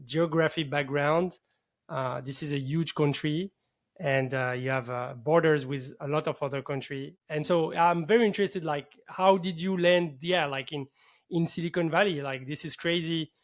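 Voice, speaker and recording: A man's voice: on the high side, steady tone, normal speed. A man hosting a show in a casual, enthusiastic interview style. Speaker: podcast host.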